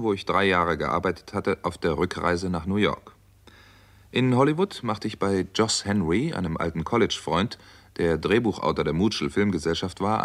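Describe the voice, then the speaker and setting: An actor playing a composed, resolute doctor who narrates a radio crime story in a measured, matter-of-fact way. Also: male voice, low voice, full character voice. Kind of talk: scripted first-person crime drama narration.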